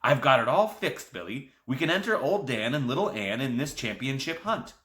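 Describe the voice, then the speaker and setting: A man voicing an excitable old grandfather who runs a country store, talking in an emphatic folksy way. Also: mid-range voice, fairly quick, roomy sound. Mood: excited.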